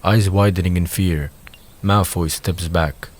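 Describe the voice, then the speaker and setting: A man narrating a scripted audio drama in a measured, descriptive manner. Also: low voice, fairly even intonation.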